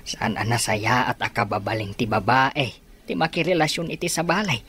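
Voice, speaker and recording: A man's voice: mid-range voice, normal speed, bright, forceful tone, slight background noise, natural voice. A man with an Ilocano Filipino accent playing a cautious, moralizing middle-aged father in a radio drama. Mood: disapproving.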